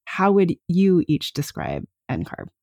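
A woman co-hosting a podcast, introducing topics and guests in a steady, articulate way. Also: on the low side, unhurried, no echo, studio quality. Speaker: podcast co-host.

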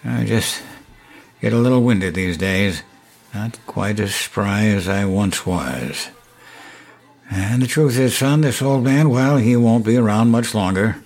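An actor playing a folksy older grandfather in an audio drama, genial and halting, with something sinister underneath. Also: on the low side, darkish tone. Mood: weary, grave.

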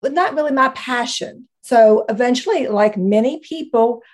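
A woman's voice: a little high, moderate pace. Enthusiastic woman with an Appalachian accent. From rural Appalachia, West Virginia. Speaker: guest, co-founder. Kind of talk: conversational interview answer.

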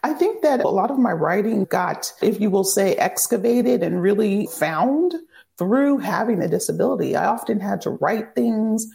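A woman in her thirties speaks as a podcast guest, with a strongly gravelly, creaky tone. Her pitch sits in a middle range. She tells her story in a candid, upbeat way. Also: expressive, moderate pace, dark tone. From Virginia, near Washington DC. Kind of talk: podcast interview.

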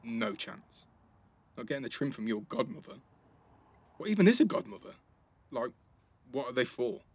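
Give the part to a cheeky teenage boy in an audio drama. His voice is mid-pitched and a bit husky, and he talks in guarded, slangy banter. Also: sing-song, normal speed. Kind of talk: naturalistic audio drama dialogue.

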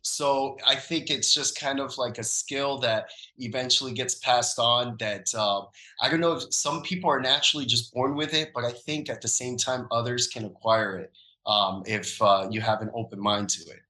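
Male podcast guest from the Dominican Republic, bright tone, roomy echoey recording, loose filler-heavy delivery. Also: mid-range voice, normal speed. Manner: relaxed, thoughtful, frequent um and uh fillers. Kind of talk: casual conversational interview.

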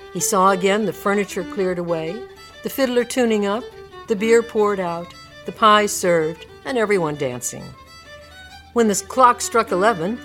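A woman reading scripted storybook narration as the narrator of a radio drama. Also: fairly low pitch.

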